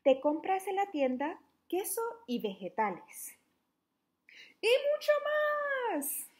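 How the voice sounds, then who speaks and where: A young adult woman reading a children's book aloud interactively, in an animated, playful, questioning manner. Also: very high voice, slow. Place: small living room at home, indoors.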